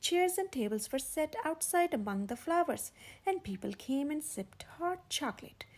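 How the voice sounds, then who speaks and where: A woman reading a children's story aloud as a storytime host, expressive, with dramatic emphasis and a breathy voice. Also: sits high, normal speed. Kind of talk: animated children's read-aloud narration.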